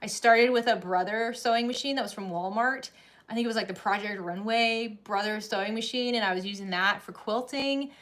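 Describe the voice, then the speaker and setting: A chatty woman in her thirties, hosting a casual sewing livestream. Her voice sits a little high, and she laughs often and restarts her sentences as she talks. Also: fairly quick, a bit bright. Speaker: sewing channel host.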